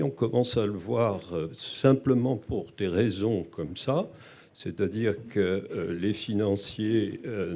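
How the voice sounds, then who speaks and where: A man making unscripted, reflective remarks at a round table, phrasing his points deliberately and with some hesitation. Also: on the low side, creaky, warm tone. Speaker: conference participant making comments.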